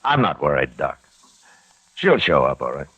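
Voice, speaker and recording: An actor playing a steady, laconic middle-aged American marshal in a radio western, measured, patient and firm. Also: male voice, very deep voice, husky, muffled recording, full character voice. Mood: thoughtful. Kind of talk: 1950s radio western acting with narration.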